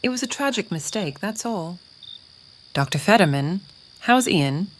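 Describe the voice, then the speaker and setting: A woman playing a gentle, forgiving mother and scientist in a dramatized audio comic. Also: medium pitch, lively intonation, unhurried, a little hiss or hum, natural voice. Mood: calm, conciliatory.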